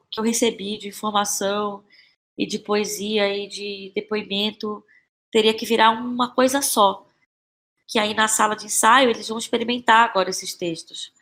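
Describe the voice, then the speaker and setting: A woman's voice: mid-range voice, fairly even intonation, fairly bright tone. A woman with a Brazilian Portuguese accent speaking as an interview guest about her creative work, casually and reflectively, often searching for words and repeating phrases. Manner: halting, searching for words, repeats phrases, frequent tag questions. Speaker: playwright and actress, podcast guest.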